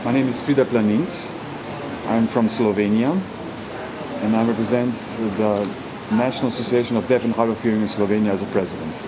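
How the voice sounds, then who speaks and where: Halting male interpreter over clear background noise. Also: on the low side, steady tone. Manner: halting, searching for words and repeating them. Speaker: sign language interpreter voicing an interviewee. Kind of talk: spoken interpretation in a formal interview. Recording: clear air-conditioning-like background hum.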